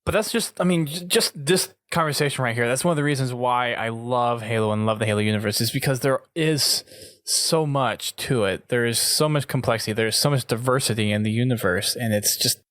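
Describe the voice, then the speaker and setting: A man's voice: medium pitch, fairly quick, fairly bright tone, no room sound. Man hosting a podcast, close dry sound. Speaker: podcast host. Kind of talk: casual gaming podcast talk.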